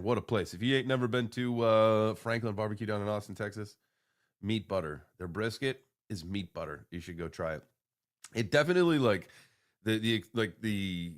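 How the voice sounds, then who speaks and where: Livestream host: American man, early forties, lower-pitched, laid-back and informal, loose off-the-cuff chat. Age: early 40s. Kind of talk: casual, rambling morning livestream chat.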